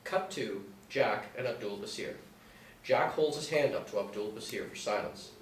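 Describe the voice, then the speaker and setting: A man's voice: lowish voice, normal speed. A man narrating, reading out the action lines of a screenplay in a scripted style. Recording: echoey room, distant mic, faint noise.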